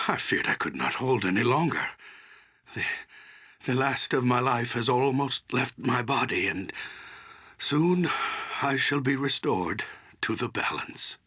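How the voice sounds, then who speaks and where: Gravelly, slow old druid. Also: male voice, on the low side, pushed and bright, exaggerated voice. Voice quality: gravelly, husky, breathy. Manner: slow, halting, solemn. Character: aged dying druid, grave and solemn. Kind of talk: fantasy game voice acting.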